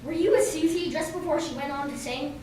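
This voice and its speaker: A woman's voice: high voice, a little fast, close to an everyday voice. Woman as polite detective, very echoey room. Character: polite, methodical male police detective. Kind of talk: amateur radio play acting. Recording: very echoey room, distant mic, faint hiss.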